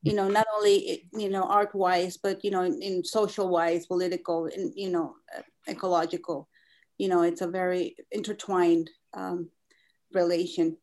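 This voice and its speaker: A woman's voice: on the low side, little pitch movement, moderate pace, a little mellow. A woman in her fifties with a Mexican Spanish accent, a native Spanish speaker, talking thoughtfully as an artist guest in an interview, with many fillers and restarts. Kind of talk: conversational interview.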